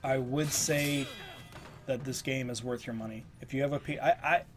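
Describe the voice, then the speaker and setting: A man's voice: mid-range voice, fairly even intonation, normal speed. A stuffed-up man casually rambling through a voice-over game review, with many ums and restarts. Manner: rambling, lots of fillers. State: stuffed up, congested. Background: game footage audio and music.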